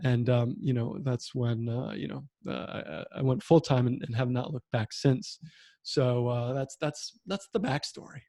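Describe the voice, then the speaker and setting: A man in his thirties, a podcast host, telling his own story in a reflective way with many pauses and fillers over a video call. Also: medium pitch, gravelly, warm tone, no room sound, clean studio sound. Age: 30s. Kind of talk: conversational interview. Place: home room with bookshelf.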